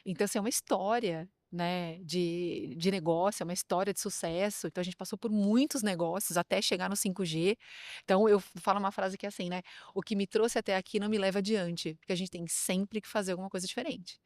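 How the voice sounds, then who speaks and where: A woman speaking as an HR director guest on a podcast, in a lively, chatty way and quick to agree. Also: mid-range voice, no echo, studio quality. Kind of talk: casual interview conversation.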